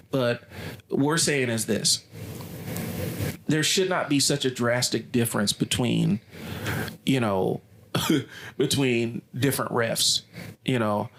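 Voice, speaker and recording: A man's voice: mid-range voice, creaky, fairly bright tone. Blunt male coach, opinionated podcast co-host. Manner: blunt, ranting, casual swearing.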